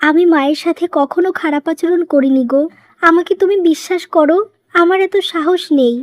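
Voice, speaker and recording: A woman's voice: very high voice, moderate pace, dry recording. A woman voicing a wronged, long-suffering young wife in a moral-story cartoon, earnest and pleading.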